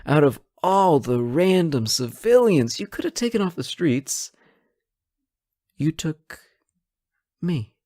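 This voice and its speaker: Young male superhero played by a man, romantic audio roleplay. Slow-paced, playful, bashful, close dry sound. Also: on the high side, animated, warm tone, dry recording. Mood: teasing, amused. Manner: playful, stammering when shy. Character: teasing, flustered male superhero smitten with his nemesis. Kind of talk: romantic roleplay audio acting, addressed to listener.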